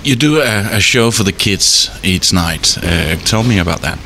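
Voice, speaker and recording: A man's voice: fairly low pitch, animated, normal speed, bright, forceful tone, slight background noise. A man interviewing a hotel worker in a hot hotel bar, asking curious, lightly teasing questions. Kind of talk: casual interview.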